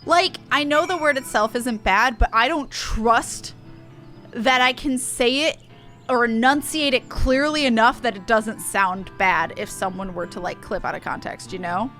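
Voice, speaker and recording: A woman's voice: on the high side, measured pace, sharp tone, faint music behind. A woman game streamer chatting casually as she comments on her play.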